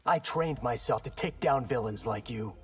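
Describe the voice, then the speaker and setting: An actor playing an earnest, determined young warrior giving dry, defiant retorts in video game fight banter. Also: male voice, medium pitch, moderate pace, over loud music, natural voice. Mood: resolute.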